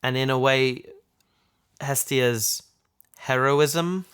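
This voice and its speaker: Guy on a podcast talking stories, medium pitch, kinda stop-start, casual. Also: fairly even intonation, extremely slow, fairly bright tone, clean tone. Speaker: podcast host and voice actor. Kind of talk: casual discussion of an audio drama.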